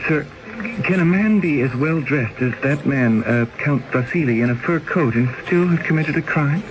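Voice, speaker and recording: A man's voice: on the high side, moderate pace, close to an everyday voice. A man playing a desperate young exile. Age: 20s. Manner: pleading, anguished. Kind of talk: 1970s radio drama acting. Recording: noisy old radio broadcast.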